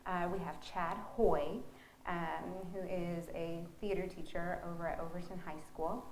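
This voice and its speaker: In a roomy, echoing space with faint noise behind her, a woman talks casually in an interview. She has a fairly low voice and an informal, halting delivery, thinking aloud as she goes. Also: fairly even intonation, darkish tone. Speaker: theater director being interviewed.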